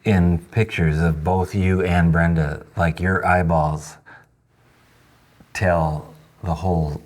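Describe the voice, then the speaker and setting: A man in his early fifties hosting a casual interview, informal and blunt, with halting phrasing. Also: low voice, steady tone, darkish tone. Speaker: podcast host.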